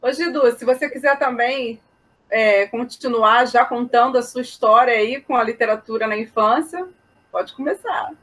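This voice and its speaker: A woman's voice: on the high side, normal speed, fairly bright tone. A woman hosting a casual interview chat, a literature teacher who talks chattily and keeps tagging questions onto her sentences. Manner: chatty, with frequent tag questions.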